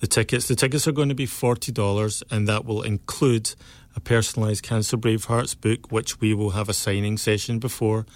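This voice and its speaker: A middle-aged man with a Scottish accent, speaking as an interview guest in an earnest, enthusiastic and measured way. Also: fairly low pitch, steady tone, dry recording. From Scotland. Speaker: guest, cancer survivor and author. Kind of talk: local interview.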